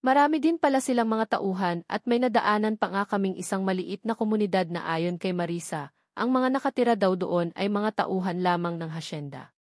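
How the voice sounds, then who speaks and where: Filipina narrator, close and dry. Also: female voice, mid-range voice, brisk, no echo. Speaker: audiobook narrator. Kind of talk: first-person scripted narration of a romance novel.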